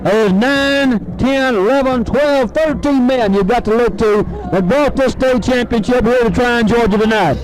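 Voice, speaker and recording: Male football color man, Southern US accent, really high pitch, colloquial and emphatic, old AM radio broadcast. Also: unhurried, hardly any pauses, poor audio. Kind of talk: live football color commentary. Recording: 1970s AM radio sports broadcast.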